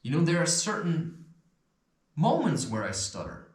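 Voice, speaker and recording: A man's voice: fairly high pitch, wildly swooping pitch, measured pace. A man with an occasional stutter giving loose, rambling spiritual advice, swearing and chuckling as he talks. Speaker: self-help video host. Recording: echoey room.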